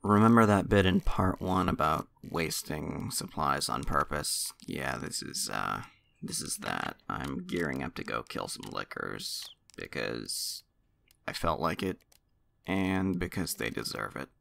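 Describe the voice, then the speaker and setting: A man's voice: a bass voice, expressive, a little slow, gravelly, fairly bright tone, a little hiss or hum. A man giving casual gameplay commentary in a dry, wry, understated manner. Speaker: game player commentator.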